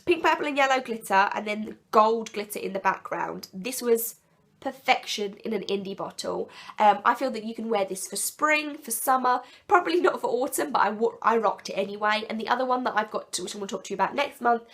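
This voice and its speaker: Sick croaky woman, congested, chatty and enthusiastic, slightly high voice, casual vlog review. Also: brisk, fairly bright tone. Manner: chatty, enthusiastic, rambling with self-corrections. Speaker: nail polish vlogger.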